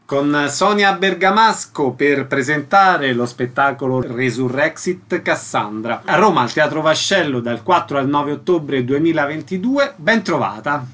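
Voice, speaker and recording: A man's voice: a little high, animated, a little slow, hardly any pauses, a bit bright. A man announcing credits and introducing items as a radio host.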